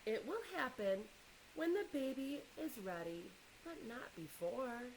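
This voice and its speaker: A woman's voice: a little high, big pitch swings, measured pace, slight background noise, low-quality recording. A woman playing a loving, protective mother dinosaur in a storybook, speaking firmly and tenderly. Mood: firm, patient.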